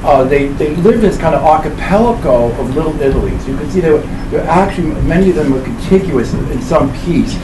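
A man in his seventies giving an informal lecture to a live audience in a halting, discursive way, circling back over his phrases. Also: a little high, expressive. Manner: halting, discursive, with repeated phrases and self-corrections. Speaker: professor giving a book presentation. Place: indoor library event room. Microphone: camera mic a few meters away. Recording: roomy, distant mic with loud background noise.

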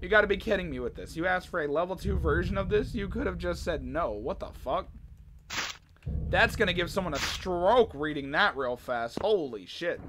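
Hoarse young man, loud game music. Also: high voice, lively intonation, moderate pace. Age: 20s. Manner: swears often, reacts loudly, jokey. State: hoarse from sore throat. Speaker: gaming YouTuber. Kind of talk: casual let's play commentary. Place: small bedroom. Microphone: desk mic, webcam in corner.

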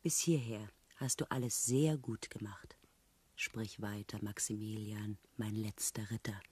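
Husky, teasing wife. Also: female voice, rumbling low, fairly even intonation, a little slow, a little mellow, exaggerated voice. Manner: arch, ironic, needling. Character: teasing, probing newlywed wife, ironic and poised. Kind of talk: German radio play acting.

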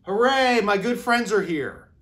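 A man voicing a gentle, friendly old zookeeper from a children's storybook, with mild, expressive delivery. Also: high voice, unhurried, pitched above the performer's own voice. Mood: delighted.

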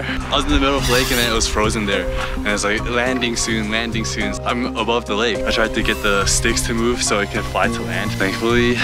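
A young adult man casually chatting to a phone camera held at arm's length outdoors by a lake, telling a relaxed story. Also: on the low side. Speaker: vlogger's travel partner.

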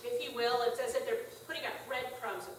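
A middle-aged woman pastor giving a conversational, gently humorous sermon in a small church. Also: fairly high pitch, fairly even intonation, fairly quick, slight background noise. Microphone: far room camera. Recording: echoey church from far off.

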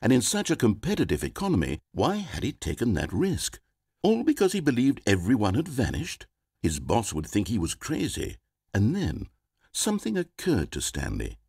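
A wry, theatrical storyteller whose husky, lower voice leaps wildly up and down in pitch. He narrates a video game from a dry studio booth, swinging between hushed asides and sudden exclamations. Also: wildly swooping pitch, moderate pace, dry recording, exaggerated voice.

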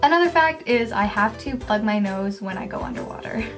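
A woman of twenty with a naturally quiet, soft voice, chatting casually to the camera in her bedroom for a facts-about-me vlog, filling her talk with "like" and the odd laugh. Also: on the high side, lively intonation, fairly quick. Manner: chatty, filler-heavy, laughs often. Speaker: beauty vlogger. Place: bedroom, indoors, small. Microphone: camera at close range, facing it. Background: background music.

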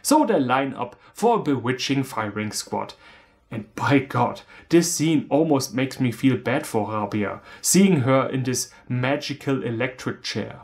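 Sardonic German man with lively pitch. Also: unhurried, creaky. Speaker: film reviewer. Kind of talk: scripted humorous film review.

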